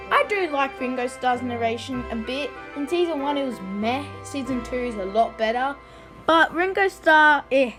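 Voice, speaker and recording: A video host giving informal, opinionated commentary in a casual style. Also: mid-range voice.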